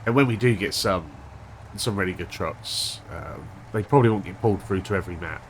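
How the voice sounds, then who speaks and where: A man hosting a gaming channel, chatting casually over gameplay with a stuffed-up, unwell voice, thinking aloud with a monotone delivery. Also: sits low, fairly quick, a little hiss or hum, poor audio. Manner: rambling, thinking aloud with restarts.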